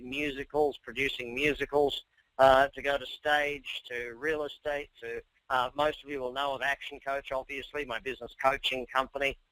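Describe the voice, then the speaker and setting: Bright-voiced Australian man coaching by webinar. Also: medium pitch, dry recording, poor audio. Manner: energetic, persuasive, talks straight to listeners. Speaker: business coach. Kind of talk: webinar presentation. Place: office or home.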